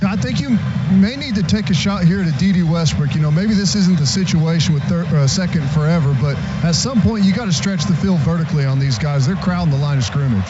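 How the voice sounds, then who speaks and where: Male football analyst, fairly high voice, conversational explaining, lo-fi radio, clear stadium noise behind. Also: fairly quick. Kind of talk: live tactical commentary.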